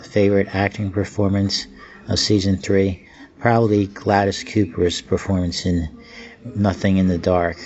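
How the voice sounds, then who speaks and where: A man giving an informal prepared review as podcast listener feedback, plain, deliberate and slightly awkward, on an amateur home recording. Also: low-pitched, darkish tone, muffled recording.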